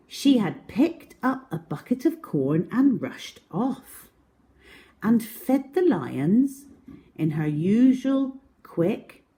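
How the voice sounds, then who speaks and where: A woman reading a picture book to young children as a storyteller, with dramatic pauses and emphasis, speaking directly to her listeners. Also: fairly high pitch, warm tone.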